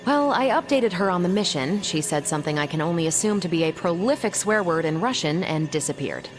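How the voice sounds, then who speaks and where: Low, wry female superhero captain played by an actress. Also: expressive, fairly quick, over music, close to an everyday voice. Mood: wry, brisk. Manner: breezy, wry, colloquial. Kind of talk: video game cutscene acting.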